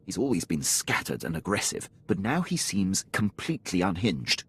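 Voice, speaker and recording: An actor playing a dutiful, formal military officer in a video game, deferential and precise, with clipped phrasing. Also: male voice, sits low, very animated, moderate pace, a bit bright, slightly rough, exaggerated voice. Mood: restrained concern. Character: dutiful, formal male imperial military officer, loyal subordinate. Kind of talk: video game character acting.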